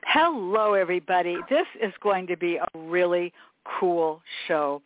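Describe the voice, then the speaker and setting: A woman hosting her own podcast, chatting warmly with a guest in an upbeat, talkative way. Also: on the low side, a little slow, a little hiss or hum. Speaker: podcast host. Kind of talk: interview with scripted intro. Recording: thin phone-like sound, dry.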